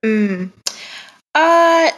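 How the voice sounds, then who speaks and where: A woman's voice: sits high, lively intonation, very slow, a bit bright. A young woman telling personal stories as an interview guest in a casual, candid and reflective way.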